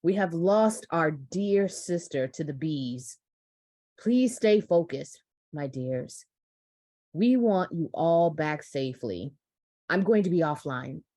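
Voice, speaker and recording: Slow, solemn woman playing a maternal resistance leader. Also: deep voice, expressive, warm tone, no room sound, studio quality, heavily acted. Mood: grave, caring. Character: commanding maternal resistance leader rallying her women. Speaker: actor in a script read. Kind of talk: live staged script reading.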